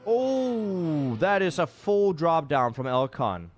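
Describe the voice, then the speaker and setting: A man's voice: a little high, sing-song, takes it slowly, dry recording. Man casting a racing game live. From Denmark. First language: Danish. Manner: self-deprecating, chatty, reacts to chat. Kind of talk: live game commentary with chat.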